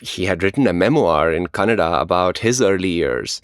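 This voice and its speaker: Low, lively man co-hosting. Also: normal speed, clean tone, no echo, clean studio sound. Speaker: podcast co-host. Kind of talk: scripted conversational podcast narration.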